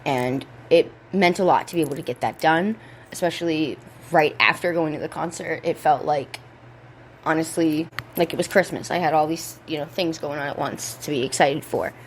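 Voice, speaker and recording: A young woman hosting a casual podcast, rambling and laid-back, with a voice hoarse from a cold, talking at home. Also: low-pitched, normal speed, slight background noise. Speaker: podcast host. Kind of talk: casual chat. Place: indoors at home.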